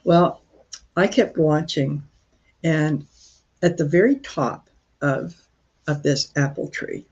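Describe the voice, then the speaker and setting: A woman from Croatia hosting a casual live stream, talking in a rambling, halting way with many fillers and restarts. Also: sits low, darkish tone, breathy edge, highs cut off.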